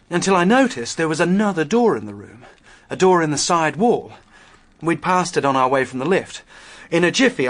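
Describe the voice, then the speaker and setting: Earnest young man, anxious, agitated, halting, husky voice, swooping intonation, radio drama. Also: fairly high pitch, fairly bright tone. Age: young adult. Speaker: actor playing a murder suspect.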